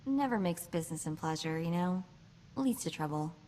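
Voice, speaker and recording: Actress as young female game hacker, wry, restless, slightly low pitch, noisy background ambience. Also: animated, normal speed, darkish tone, close to an everyday voice. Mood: gently rebuffing. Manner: wry, chatty, hesitant asides. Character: sassy young woman hacker, quick-witted, restless, secretly vulnerable. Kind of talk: video game voice acting.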